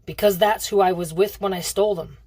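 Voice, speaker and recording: Woman reading as gruff, stern old uncle. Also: medium pitch, fairly even intonation, fairly quick, warm tone, no echo, natural voice. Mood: gruff, impatient. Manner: curt, stern. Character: gruff, impatient old great-uncle, master thief.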